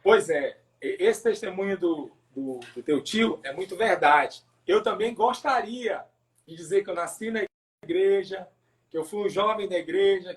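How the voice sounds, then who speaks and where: A man from Pará with a northern Brazilian accent telling his personal testimony as a pastor in a casual interview, haltingly, repeating words and adding tag questions. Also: high voice. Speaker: pastor, podcast guest.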